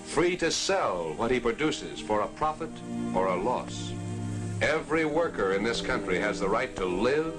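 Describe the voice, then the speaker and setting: A man's voice: mid-range voice, big pitch swings, normal speed. A man reading scripted narration for a 1940s propaganda film in an earnest, declamatory way. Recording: old film soundtrack with music.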